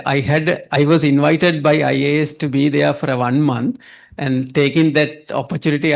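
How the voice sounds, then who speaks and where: A man from Sri Lanka, a professor speaking up from the audience at a public event. He pauses often, with fillers and restarts. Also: mid-range voice, creaky. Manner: halting, with many fillers and restarts.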